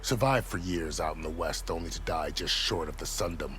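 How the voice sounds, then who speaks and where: A man playing a solemn, courteous army commander in a video game cutscene, speaking in a formal, measured way. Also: a bass voice, fairly bright tone, a little hiss or hum.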